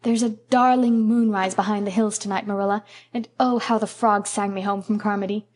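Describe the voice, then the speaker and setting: Woman doing a dreamy young woman, gushing and poetic, bit brisk. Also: mid-range voice, steady tone, darkish tone, lightly acted. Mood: wistful.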